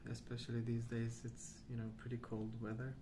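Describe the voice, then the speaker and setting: A man speaking softly, at times near a whisper, in an unhurried, musing ASMR ramble while colouring. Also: mid-range voice, monotone, noisy background. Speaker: host of a colouring ASMR channel. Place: indoors at a wooden table.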